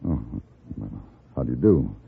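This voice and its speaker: An actor playing a calm, wry American gunfighter in an old-time radio western, measured and plain-spoken in narration and dialogue. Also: male voice, extremely low pitch, creaky, breathy edge, heavily acted. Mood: polite, surprised. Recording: tinny old radio broadcast with music.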